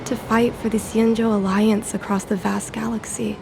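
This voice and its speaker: A woman's voice: medium pitch, normal speed, gravelly, background noise, natural voice. A woman playing a spirited, earnest young fox-woman who dreams of piloting, in a video game. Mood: earnest, determined. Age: young adult. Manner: perky, expressive, earnest. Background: music.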